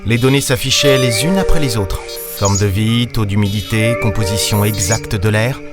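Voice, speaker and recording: A man's voice: fairly low pitch, normal speed, lightly acted. Man playing a world-weary space captain over music. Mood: grave. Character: world-weary male space captain, last human in the galaxy. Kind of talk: science-fiction audio drama, first-person narration and dialogue. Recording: music under the voice.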